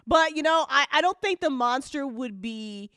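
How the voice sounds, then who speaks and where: A woman's voice: high-pitched, lively intonation, normal speed, a bit bright, dry recording, clean studio sound. A woman chatting casually and informally, with a joking manner. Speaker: audio drama after-show participant. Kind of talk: casual chat.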